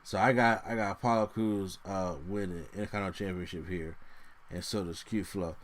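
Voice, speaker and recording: A man co-hosting a casual podcast, talking through wrestling predictions in an animated way, often restarting his sentences and jumping up in pitch. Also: brisk. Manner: animated, lots of restarts and sudden pitch jumps. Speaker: podcast co-host.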